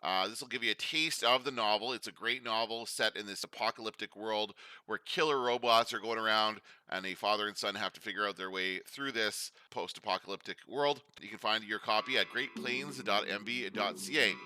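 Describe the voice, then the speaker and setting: A man's voice: on the low side, a little fast, few pauses, bright, forceful tone, clear, resonant tone, no echo. A man speaking as a podcast host, making an announcement to listeners.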